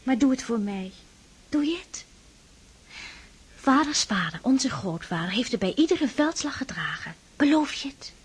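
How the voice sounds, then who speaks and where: Husky, high-voiced devout sister. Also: female voice, big pitch swings, measured pace, exaggerated voice. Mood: pleading. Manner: earnest, tender, pleading. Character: devout, shy, self-effacing aristocratic sister, gently admonishing. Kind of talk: Dutch radio drama acting.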